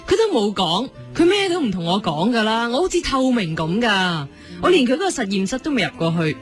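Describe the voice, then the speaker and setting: A woman's voice: fairly high pitch, sing-song, fairly quick, soft background music. A woman with a Hong Kong Cantonese accent playing an unhappy, yearning young wife in a sci-fi romance radio drama, emotional and plaintive. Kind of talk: radio drama acting with narrated inner monologue.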